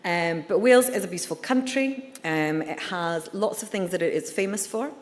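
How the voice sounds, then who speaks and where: Low-voiced Scottish woman in a hall. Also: normal speed. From Scotland. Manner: candid, friendly, with frequent ums and uhs. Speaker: liver surgeon giving a conference talk. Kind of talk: conference presentation. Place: conference hall. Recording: reverberant hall.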